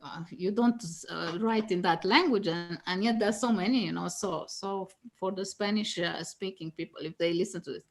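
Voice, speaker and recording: A woman's voice: on the low side, normal speed, few pauses. A woman whose first language is Danish, a guest tarot reader talking casually and teaching, laughing often and restarting her phrases with many fillers.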